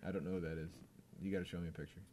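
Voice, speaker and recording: A man's voice: sits low, little pitch movement, very fast, darkish tone, slight background noise. A young man co-hosting a tipsy podcast chat, joking around and laughing often. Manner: laughs a lot, jokey. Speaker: podcast co-host. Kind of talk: drunken casual chat.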